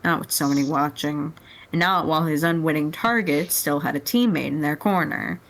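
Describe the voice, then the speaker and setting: A woman's voice: low-pitched, moderate pace. A woman reading scripted story narration aloud in a group dramatic reading.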